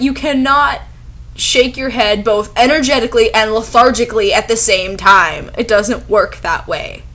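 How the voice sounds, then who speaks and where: A woman's voice: fairly high pitch, pushed and bright. A woman giving sarcastic, exasperated comic commentary as she reviews and reads out bad fanfiction. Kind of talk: comic commentary over a dramatic reading.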